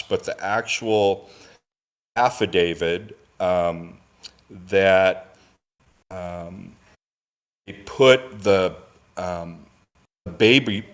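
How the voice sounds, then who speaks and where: A man talks casually in a low register and at a very slow pace. He is halting, often searching for the next word. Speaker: discussion participant on a stream. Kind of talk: casual discussion.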